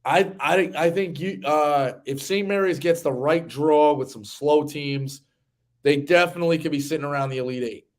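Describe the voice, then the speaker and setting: A man's voice: fairly high pitch, moderate pace. A man in his thirties hosting a sports betting show, talking in a casual, rambling, conversational way. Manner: rambling, conversational, occasional swearing.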